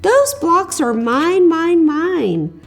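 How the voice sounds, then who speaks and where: A woman's voice: very high-pitched, very animated, very slow, faint music behind, pitched above the performer's own voice, full character voice. A woman reading aloud, voicing a whiny, possessive young toad in a children's storybook. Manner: whining, sing-song.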